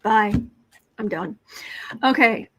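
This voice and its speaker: A woman hosting a political tarot livestream who reads out cards and viewer comments in a chatty, sarcastic way, often restarting her sentences and clearing her throat. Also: mid-range voice, slow pace, fairly bright tone, slight background noise, rough recording. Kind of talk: casual livestream commentary while reading cards and chat.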